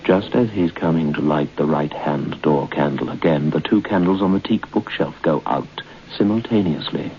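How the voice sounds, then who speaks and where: Very low-voiced ominous narrator. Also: male voice. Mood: foreboding. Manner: deliberate, dramatic, suspenseful. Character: grave, ominous narrator of a ghost story. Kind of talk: old-time radio horror narration. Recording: muffled, noisy old radio broadcast.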